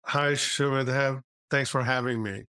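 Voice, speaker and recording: A man in his fifties, originally from Bangladesh, talks through his backstory in a plain, matter-of-fact way. His voice sounds smooth and resonant, recorded close and dry with no room around it, at a medium pitch. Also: steady tone, a little slow, sharp tone, no echo. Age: 50s. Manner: plain, matter-of-fact storytelling with small restarts. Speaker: guest, entrepreneur and author. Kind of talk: casual interview answers.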